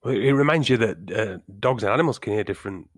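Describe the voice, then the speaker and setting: A man's voice: fairly low pitch, animated, fast, slightly rough, dry recording, clean studio sound. A man hosting a podcast, asking questions casually and often repeating his words. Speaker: podcast host.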